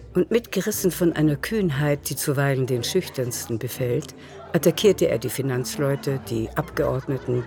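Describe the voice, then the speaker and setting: A woman's voice: very deep voice, a little mellow. A woman narrating a literary radio drama as a storyteller.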